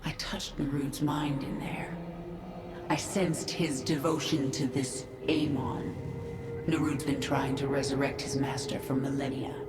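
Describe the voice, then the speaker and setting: A woman playing a grim, ruthless alien swarm queen in a video game, speaking in a cold, deliberate, commanding way. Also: low-pitched, steady tone. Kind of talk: dramatic video game acting. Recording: echoey, game music behind.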